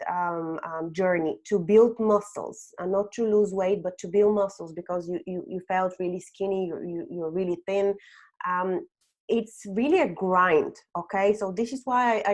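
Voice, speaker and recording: A woman in her thirties, originally from Bulgaria, presenting a webinar with slides and often adding "um" and "okay?". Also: mid-range voice. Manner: frequent "um" and "okay?" tags. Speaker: webinar host, HR consultant.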